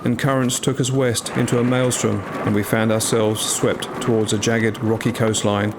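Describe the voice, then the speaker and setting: A man playing a humble English medieval longbowman, telling his story in a solemn, measured, scripted dramatic narration. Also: medium pitch, little pitch movement, noisy background, natural voice. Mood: somber, foreboding. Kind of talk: dramatic scripted first-person narration over music. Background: music.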